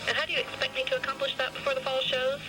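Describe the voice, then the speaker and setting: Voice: high-pitched, monotone, rapid-fire, voice pushed up, natural voice, low-quality recording. A performer voicing a vain, suffering female fashion model from the future in an audio science fiction story, with music behind. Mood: doubtful.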